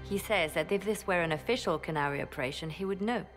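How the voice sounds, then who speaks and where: An actress playing a calm, shrewd spymaster who speaks analytically, in a game cutscene with music behind. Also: female voice, lowish voice, quick pace, close to an everyday voice.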